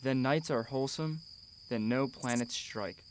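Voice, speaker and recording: A man plays a gentle expectant father in a radio drama. He speaks earnestly and tenderly, in a reflective way, over faint background noise. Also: mid-range voice, measured pace, lightly acted. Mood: solemn, wistful.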